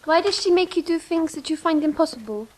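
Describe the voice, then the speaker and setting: A woman playing a mysterious scheming lady of the court, asking quick, probing questions from a distance. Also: extremely high pitch, expressive, darkish tone.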